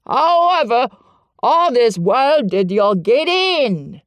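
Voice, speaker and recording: A woman voicing a gruff, scolding old male gardener in a Yorkshire dialect, loud and slow. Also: a little high, very animated, no room sound, voice pushed up. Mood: outraged.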